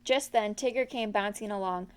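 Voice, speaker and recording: A woman reading a children's story aloud as an expressive storyteller. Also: medium pitch, moderate pace, clear, resonant tone.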